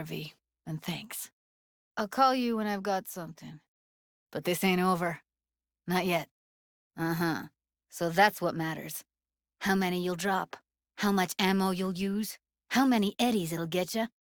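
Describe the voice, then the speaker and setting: A woman's voice: lowish voice, no room sound, clean studio sound. A woman voicing a young, streetwise techie in a video game, blunt and guarded with tenderness underneath, speaking in casual, clipped slang. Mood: resolute, blunt. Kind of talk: naturalistic video game voice acting.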